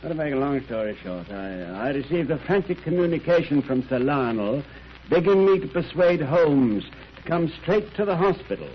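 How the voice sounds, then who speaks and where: Actor as a genial doctor, muffled old radio. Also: male voice, on the high side, animated, moderate pace, lightly acted. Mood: storytelling. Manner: hospitable, chatty, old-fashioned storytelling. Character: Doctor Watson, genial older English doctor and storyteller. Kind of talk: 1930s radio acting. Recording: muffled, noisy 1930s radio recording.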